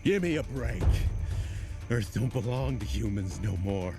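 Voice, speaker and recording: An actor playing a defiant, rough scavenger in a video game cutscene, slangy in his delivery. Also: on the low side, expressive, a little slow. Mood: defiant, bitter. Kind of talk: scripted game cutscene acting. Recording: game audio with loud music.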